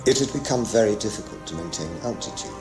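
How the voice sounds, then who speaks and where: An actor playing a traumatised pilot giving halting, haunted testimony in a radio play. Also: male voice, on the low side, expressive, music in the background, close to an everyday voice. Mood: tense recounting. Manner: halting, haunted, broken phrasing. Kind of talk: radio play dramatic monologue.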